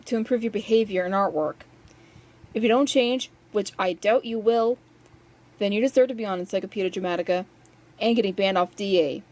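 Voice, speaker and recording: A woman's voice: fairly high pitch, a little mellow. A woman giving casual rant commentary as a video maker, with a sarcastic, scolding way of talking.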